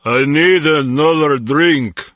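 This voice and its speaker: Griping nervous henchman in a game, high forceful voice. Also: slow pace, no room sound, studio quality. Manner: complaining, exasperated. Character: grumbling, anxious male henchman fed up with his post. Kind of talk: video game voice acting.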